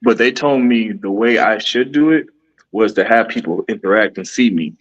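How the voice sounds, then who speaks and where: A man's voice: fairly low pitch, lively intonation, normal speed, dull audio. A man, a podcaster and musician, talking earnestly in a casual interview with frequent restarts. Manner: earnest, rambling, many restarts.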